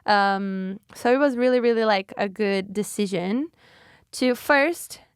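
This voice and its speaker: A woman's voice: medium pitch, unhurried, smooth, dry recording, clean studio sound. A young woman from Brazil with a Brazilian Portuguese accent, hosting a solo podcast in a chatty, reflective way, with fillers and restarts. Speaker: podcast host.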